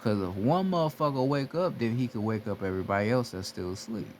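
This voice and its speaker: A man's voice: on the low side, quick pace, darkish tone, a little hiss or hum. A man giving a casual spiritual talk, blunt and repetitive, swearing freely as he urges listeners to wake up. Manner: blunt, repetitive, heavy profanity. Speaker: spiritual teacher. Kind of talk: casual motivational monologue.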